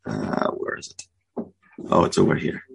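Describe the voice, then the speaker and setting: A man preaching a sermon, earnest and halting, with many restarts, slowing down to deliver what he hears as a word from God. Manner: slow, emphatic delivery of spoken prophecy. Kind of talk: sermon with prophetic words.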